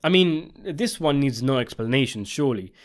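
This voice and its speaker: A man's voice: mid-range voice, expressive, normal speed. A man delivering scripted video essay commentary on a TV series, in an emphatic, opinionated way. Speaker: video essayist and channel host.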